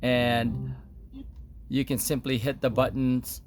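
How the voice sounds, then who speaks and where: A man's voice: medium pitch, flat delivery, slow, a little hiss or hum. A man giving a casual, unscripted product demonstration, explaining step by step in a hesitant way.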